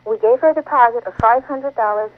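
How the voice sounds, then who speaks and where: A woman's voice: a little high, fast, no echo. A woman calling an advice show by telephone, explaining a personal problem in a conversational way. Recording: narrow, tinny phone line on radio.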